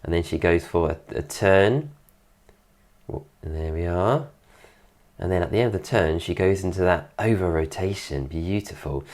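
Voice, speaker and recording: Man, very deep low voice, stuffy congested nose, getting over an illness, admiring relaxed video commentary. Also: normal speed. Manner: admiring, keeps praising details. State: recovering from illness, voice deeper than usual. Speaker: dance teacher reviewing a dance video.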